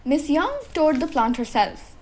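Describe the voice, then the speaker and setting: A young woman playing a brisk, dismissive office clerk in a high school radio drama. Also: lively intonation, a little mellow.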